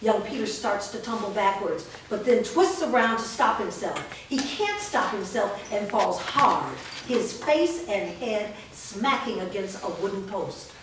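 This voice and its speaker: A woman's voice: mid-range voice, animated, measured pace, hardly any pauses. A woman reading scripted stage directions aloud as narrator of a staged reading. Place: large echoey room, recorded from afar. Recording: echoey room, distant mic, noisy.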